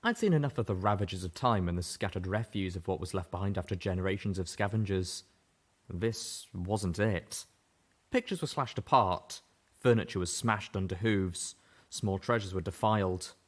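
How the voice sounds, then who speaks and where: A man narrating a fan-fiction audiobook with a steady, even-paced reading voice. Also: sits low, moderate pace. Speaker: audiobook reader. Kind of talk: scripted story narration.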